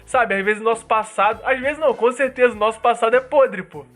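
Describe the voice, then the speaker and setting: Animated, slangy young-sounding man chatting. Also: very high voice, quick pace. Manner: animated, slangy, acts out quoted voices. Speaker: podcast co-host.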